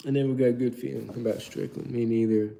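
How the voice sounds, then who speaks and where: A man's voice: medium pitch, fairly even intonation, fast, creaky, mellow. A young man from Turkey chatting casually on a live stream, reading out viewer questions and rambling through his answers.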